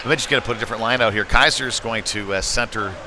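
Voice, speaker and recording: A man's voice: mid-range voice, fast. A man doing live play-by-play hockey commentary in a folksy, conversational way. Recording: noisy rink with clear background noise.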